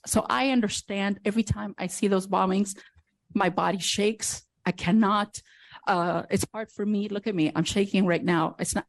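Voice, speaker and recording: A woman from Afghanistan giving emotional public comment at a city council, pleading with halting restarts. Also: medium pitch, no room sound. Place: council chamber.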